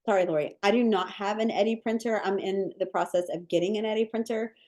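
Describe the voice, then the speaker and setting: A woman with a low voice, a cookie decorating teacher answering viewer questions in a live webinar, chatty and relaxed with many ums and likes. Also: fast.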